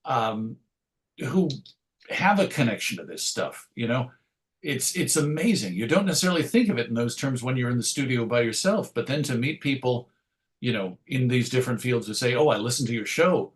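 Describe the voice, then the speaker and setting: A man's voice: medium pitch, expressive, normal speed. A middle-aged American man telling stories as an interview guest, discursive, self-deprecating and enthusiastic about his subject. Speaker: interview guest, radio show host. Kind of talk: reflective conversational interview storytelling.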